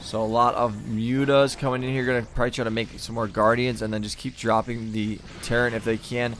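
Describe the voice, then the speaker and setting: A man commentating a live strategy game match, talking in a relaxed, meandering way and filling gaps with ums and uhs. His voice sits at an ordinary middle pitch and is starting to go. Faint music plays underneath. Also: brisk. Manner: easygoing, thinking aloud with fillers. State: losing his voice. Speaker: game caster.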